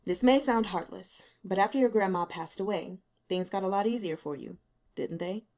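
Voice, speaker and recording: A woman reader plays an unmoved male investigator in an audiobook. The questioning is blunt, measured and quietly pressing. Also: mid-range voice, voice pushed down, lightly acted.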